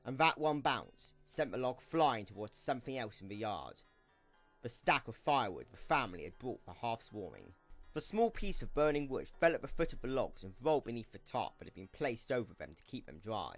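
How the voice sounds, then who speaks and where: Male narrator for an audio drama: expressive, dramatic read of scripted story over background music. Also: medium pitch, fairly quick.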